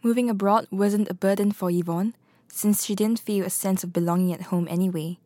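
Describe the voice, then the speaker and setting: A woman from Singapore reading scripted documentary narration in a measured, reflective way. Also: medium pitch, steady tone, warm tone, no echo, studio quality. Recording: voice-over with music behind.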